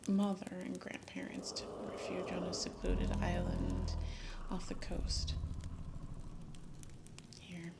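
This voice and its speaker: A woman playing a guarded, loyal young noblewoman who rides a dragon, in a whispered, halting ASMR fantasy roleplay. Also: fairly low pitch, completely flat delivery, vocal fry. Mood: earnest, reassuring. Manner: hushed, halting, tentative, murmuring. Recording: loud ambient noise behind the voice. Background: campfire and night nature ambience.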